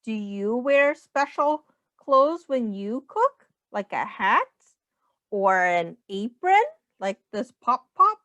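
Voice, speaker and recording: A woman's voice: a little high, big pitch swings, takes it slowly, fairly bright tone, no room sound, highs cut off. A woman reading a children's picture book aloud in a sing-song way, as a teacher speaking simply to young children.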